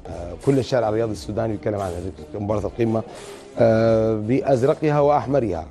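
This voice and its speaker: Fast-talking Sudanese TV sports host. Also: male voice, on the low side. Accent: Sudanese Arabic. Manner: brisk, animated questioning with many "mm" backchannels. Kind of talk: studio interview. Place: TV studio.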